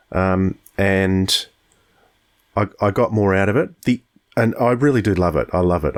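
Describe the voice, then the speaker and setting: A man with a low voice co-hosts a podcast. He speaks in a casual reviewing style, measured in his opinions, often doubling back to restart a phrase. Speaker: podcast co-host.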